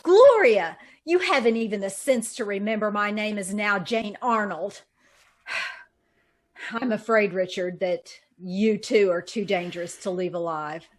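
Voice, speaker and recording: A woman's voice: medium pitch, unhurried, lightly acted. Cold, scheming radio-drama murderess played by a woman. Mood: cold, menacing. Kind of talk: old-time radio drama acting.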